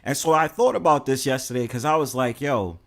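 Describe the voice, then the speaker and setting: A man's voice: mid-range voice, fairly quick, creaky. A young man with a New York accent, talking casually and bluntly to his stream, full of fillers and swearing. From New York City. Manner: rambling, heavy fillers, blunt and profane.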